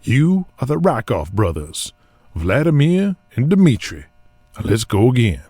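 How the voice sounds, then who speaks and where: A man's voice: medium pitch, huge pitch swings, unhurried, warm tone, husky, no room sound, full character voice. A man voicing a bossy male wrestling booker coaching two wrestlers impatiently.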